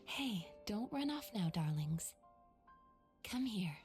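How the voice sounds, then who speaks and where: An actress playing a gentle, playful dream healer in a video game, soothing and affectionate, with a soft, breathy, near-whispered delivery. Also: female voice, mid-range voice, big pitch swings, faint music behind, exaggerated voice. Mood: playful, doting. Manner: coaxing, affectionate, unhurried. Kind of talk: soft, guided-relaxation game voice acting.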